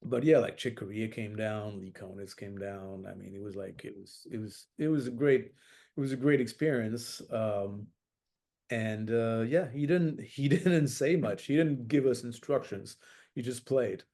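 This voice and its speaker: A man's voice: medium pitch, unhurried, mellow. A middle-aged man from France, a jazz saxophonist as interview guest, talking thoughtfully with many fillers and restarts. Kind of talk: reflective interview talk.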